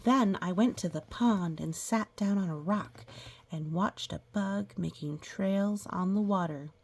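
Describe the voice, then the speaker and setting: A woman reading a picture book aloud to young children, gently pausing to explain things and ask questions. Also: medium pitch. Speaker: children's story reader.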